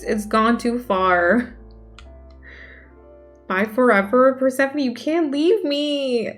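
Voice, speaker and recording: Chatty young streamer woman reading chat. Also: a little high. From Denmark. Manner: chatty, reacts aloud to chat. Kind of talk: casual chat reading viewer messages. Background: faint music.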